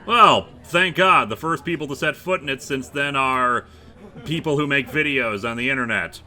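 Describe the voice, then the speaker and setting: A man's voice: mid-range voice, moderate pace, bright, forceful tone. A man with a wry, sarcastic, conversational delivery, acting in a scripted comedy web drama.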